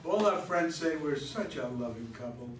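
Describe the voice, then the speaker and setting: Man acting middle-aged husband, distant roomy stage recording, defensive, exasperated, swooping pitch, comedy play. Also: animated, normal speed, slight background noise, natural voice. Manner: argumentative, exclaiming, incredulous. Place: echoey room, mic away from performers.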